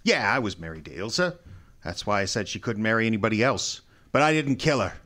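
An actor playing a tough, defensive, jealous athlete, speaking bluntly in an old-time radio crime drama. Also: male voice, lowish voice, expressive, brisk, bright, forceful tone. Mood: defiant. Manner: blunt, colloquial. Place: live stage before an audience.

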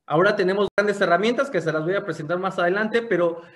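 A young man with a fairly high voice tells his own story to an audience. He speaks over a webcam from a room at home and keeps asking his listeners rhetorical questions. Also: fast talker, a bit bright. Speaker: motivational speaker giving a business testimony. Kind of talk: motivational testimony talk. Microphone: webcam on a video call.

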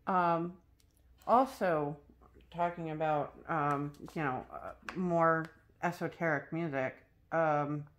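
American lady with a lowish voice, chatting real slow and kinda hesitant while crafting. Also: a little hiss or hum. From New Mexico, USA. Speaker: host of a crafting vlog. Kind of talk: casual chat while crafting. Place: indoors at a craft table.

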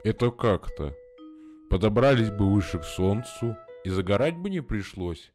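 A man's voice: fairly low pitch, animated, normal speed, darkish tone, soft background music, close to an everyday voice. A man voicing a talkative grown-up neighbor in an amateur cartoon who muses in a folksy way.

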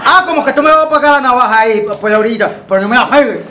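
A swaggering Dominican street tough, played by a man brags in a high, mumbly ramble. Loud noise hangs under the voice. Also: rapid-fire, reverberant, over a noisy crowd. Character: boastful tough-guy male street thug customer. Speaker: customer in comedy sketch.

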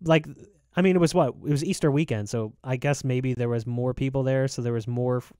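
A man in his thirties, a podcast host, telling animated stories over a video call with lots of filler words. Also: medium pitch, fairly quick, no room sound, clean studio sound. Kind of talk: casual chat, storytelling. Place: home room, indoors. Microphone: desk mic on video call, headphones.

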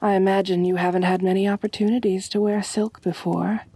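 A woman playing an elegant, doting, gently dominant vampire mistress in an intimate ASMR roleplay, coaxing in a soft, genteel voice close to the microphone. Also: medium pitch, flat delivery, dry recording. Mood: doting, admiring. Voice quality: soft, breathy, husky. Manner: slow, coaxing, genteel, purring endearments. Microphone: close, dry microphone.

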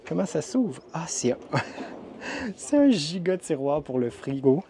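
A man's voice: fairly high pitch, sing-song, slow pace, mellow, a little hiss or hum. A man with a Québécois French accent casually narrating a walkthrough of campers at an indoor RV show, chatty and descriptive, with frequent hesitations. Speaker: RV vlogger touring a trade show.

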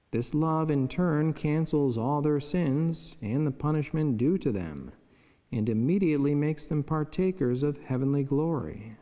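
Middle aged friar guy reading a reflection, real slow n formal, soft dark tone, big chapel echo. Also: fairly high pitch. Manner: solemn, measured, formal. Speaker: friar preaching. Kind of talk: read devotional reflection and prayer. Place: wood-panelled chapel indoors, at a lectern. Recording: long echoing chapel reverb.

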